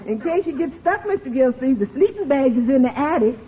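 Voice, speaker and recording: An American actress playing a chatty, deferential housekeeper with a drawn-out comic delivery in an old-time radio comedy. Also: female voice, sits high, lively intonation. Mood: dithering. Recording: dull old radio recording.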